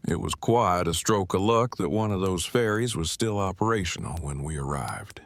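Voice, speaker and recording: A man's voice: low voice, lively intonation, moderate pace, dry recording. A man playing an earnest, bookish husband in an audio drama, speaking in formal, precise, wordy phrases. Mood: matter-of-fact. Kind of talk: fan audio drama acting.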